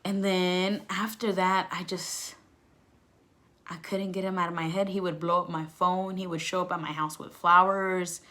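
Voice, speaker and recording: Young woman vlogger w a lowish voice, super casual storytime, slangy n sweary, does the back and forth dialogue. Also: flat delivery, moderate pace, bright, forceful tone. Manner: candid, slangy, swearing freely; acts out past conversations. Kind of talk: casual personal storytelling vlog.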